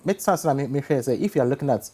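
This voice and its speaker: A man's voice: mid-range voice, animated, very fast, mellow, breathy edge. A man with a Ghanaian accent answering casually as a guest in an interview.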